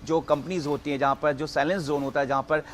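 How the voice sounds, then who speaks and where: Higher-voiced male reporter, noisy background. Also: fairly even intonation. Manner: halting, repetitive explaining. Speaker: news reporter. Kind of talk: unscripted live news report.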